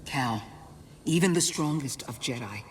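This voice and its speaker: A woman playing a grave, guilt-ridden middle-aged former Jedi mentor in a game cutscene, speaking in a measured, solemn, deliberate way. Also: very deep voice, lively intonation, a little hiss or hum. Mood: concerned. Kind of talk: dramatic video game cutscene acting. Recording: music behind dialogue.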